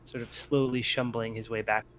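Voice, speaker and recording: A man's voice: medium pitch, little pitch movement, normal speed, fairly bright tone. A man refereeing a tabletop game as game master, thinking aloud and accommodating as he improvises rulings. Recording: muffled mic with noticeable background noise.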